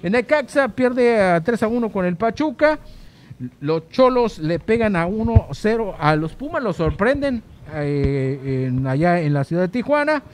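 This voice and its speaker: A man co-hosting a sports show, giving a news round-up in a halting, hesitant way with repeated words. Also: high voice, sing-song. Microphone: handheld microphone, masked speaker.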